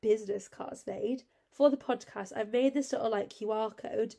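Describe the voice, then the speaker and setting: A young woman talks from her bed, her nose badly blocked by a cold. Her voice is gravelly with a dark, mellow tone and a slightly higher pitch. She rambles in a chatty, easygoing way, full of fillers and asides. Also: moderate pace. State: congested with a cold. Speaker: podcast host. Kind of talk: casual solo podcast chat. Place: at home, in bed.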